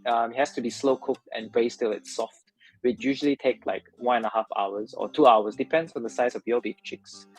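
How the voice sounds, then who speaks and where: A man's voice: medium pitch, fairly quick, soft background music, rough recording. A man who works as a chef, casually explaining a dish he cooked, step by step, in a video.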